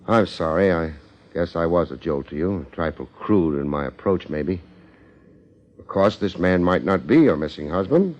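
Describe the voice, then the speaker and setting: An actor playing a wry, hard-boiled American detective with deadpan wisecracks, in 1950s radio drama style. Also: male voice, sits low, normal speed. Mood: probing, measured. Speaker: detective and narrator. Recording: dull, band-limited 1950s radio recording.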